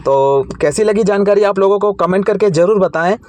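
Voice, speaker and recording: A man's voice: sits high, brisk, darkish tone, no echo. An Indian man hosting an informal tech tutorial, explaining each step in a repetitive way.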